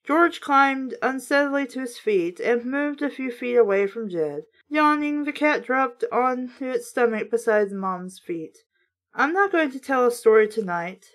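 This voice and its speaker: A woman reading a book aloud in a casual, expressive way, breaking in with sarcastic, opinionated remarks. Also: fairly high pitch. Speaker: host reading children's books aloud with commentary.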